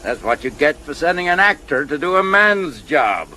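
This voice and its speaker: A man's voice: a little high, very animated, sharp tone, exaggerated voice. An actor playing a gloating, mocking Victorian gunman villain, in a radio drama. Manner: mocking, drawn-out. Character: sneering, gloating male Victorian villain with a gun. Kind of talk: 1970s British radio drama acting. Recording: noisy 1970s radio broadcast recording.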